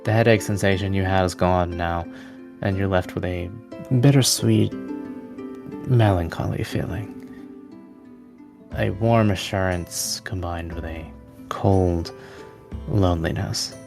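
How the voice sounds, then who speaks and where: A man narrating a tabletop roleplaying game as game master, with measured, evocative storytelling. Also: low-pitched, steady tone, vocal fry. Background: music.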